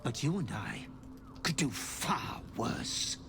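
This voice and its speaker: An actor playing a sinister male butler in a video game, speaking smoothly, slowly and with menace. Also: mid-range voice, wildly swooping pitch, over music, heavily acted. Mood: menacing. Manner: smooth, sinister, slow and insinuating. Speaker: game character.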